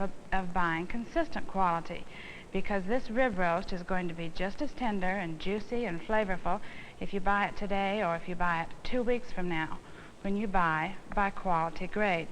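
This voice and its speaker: An American woman giving an instructional demonstration, explaining things in a deliberate, didactic way. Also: mid-range voice. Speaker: instructional film presenter, home economist. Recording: noisy old instructional film soundtrack.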